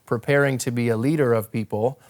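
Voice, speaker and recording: A man's voice: medium pitch, steady tone, brisk, a little mellow. A young American man from Wisconsin telling his own story as an interview guest, articulate and reflective in a conversational way. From northern Wisconsin, United States. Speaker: interview guest, ministry worker.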